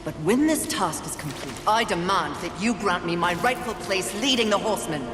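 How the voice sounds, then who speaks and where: A woman's voice: on the low side, lively intonation, moderate pace, background noise, natural voice. A woman playing a proud, impatient female warrior of the apocalypse in a video game, sardonic and imperious. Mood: proud, mocking. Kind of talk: video game cutscene acting. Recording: echoey hall, music behind.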